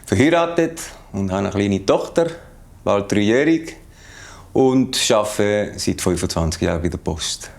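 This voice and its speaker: A man's voice: on the low side, expressive, a little slow, gravelly, darkish tone. A man in his fifties with a Swiss German accent, a postal worker speaking earnestly and repeating words as he searches for them. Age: 50s. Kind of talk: documentary interview.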